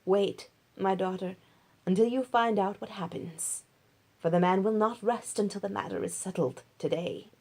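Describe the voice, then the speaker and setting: A woman voicing a caring older mother-in-law in a Bible storybook, gently giving advice. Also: medium pitch, moderate pace, close to an everyday voice. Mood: calm advice.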